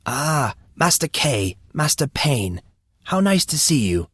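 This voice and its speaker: Guy doing a polite knowing gentleman for a fantasy audiobook, calm n formal, real dry sound. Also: a little high, takes it slowly, breathy edge, no echo, pitched above the performer's own voice, full character voice. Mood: courteous, amused. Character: Brian, courteous, calm, knowing man of genteel speech.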